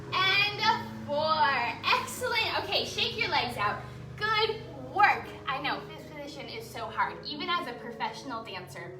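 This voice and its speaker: Young female kids' dance teacher, very animated and encouraging, recorded at a distance in an echoey room with music. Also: sits high. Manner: encouraging, praising as they go. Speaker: children's ballet teacher. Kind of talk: instructing a children's dance class.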